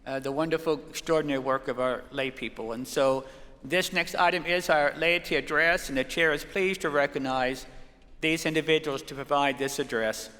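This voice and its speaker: A man from the Great Plains presiding over a church conference session, speaking haltingly with many fillers. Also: a little high, fairly even intonation, bright, forceful tone. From Great Plains, United States. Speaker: presiding officer. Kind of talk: chairing a plenary session. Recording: long reverb in a large hall.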